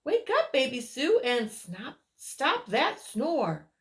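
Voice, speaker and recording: Woman acting a exasperated storybook boy, high ringing voice, loud insistent calls, drawn-out words, swooping pitch. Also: wildly swooping pitch, exaggerated voice. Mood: loud demanding. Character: exasperated storybook boy Jack calling sleepers awake.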